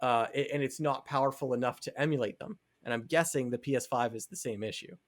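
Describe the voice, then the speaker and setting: A man's voice: medium pitch, quick pace. A man co-hosting a podcast, talking casually and explaining his opinions on video games. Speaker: podcast co-host.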